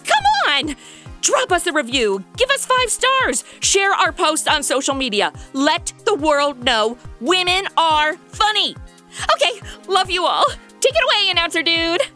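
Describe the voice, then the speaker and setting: A woman plays a sassy young princess in a comedy radio play. Her voice is high, sharp and very animated, blunt and sarcastic. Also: unhurried, soft background music, full character voice. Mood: perky. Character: brash, sarcastic, self-absorbed young woman turned princess.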